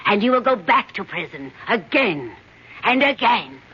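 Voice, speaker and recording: An actor playing a calm, superior alien being who speaks in cold, measured pronouncements, in a 1970s radio drama. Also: male voice, high voice, breathy edge, exaggerated voice. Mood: coldly superior. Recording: dull old radio broadcast.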